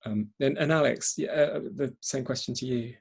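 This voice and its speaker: A man's voice: mid-range voice, moderate pace, gravelly, slightly rough. A man hosting a panel discussion in spontaneous talk, hesitantly repeating and rephrasing as he goes. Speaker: event host and moderator.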